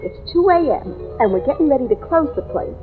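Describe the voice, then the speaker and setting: An actress playing a slangy, gossipy, easily frightened young waitress in an old radio drama. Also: female voice, high-pitched, lively intonation, a little fast. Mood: chatty, gossipy. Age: young adult. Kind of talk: 1940s-50s radio drama acting. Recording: tinny vintage radio, music behind.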